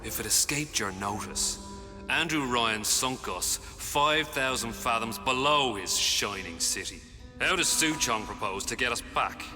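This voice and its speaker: An actor playing a menacing, mocking revolutionary crime boss in a video game, with an Irish accent and a taunting, streetwise manner. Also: male voice, medium pitch, animated, unhurried. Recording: long hall reverb, loud music behind.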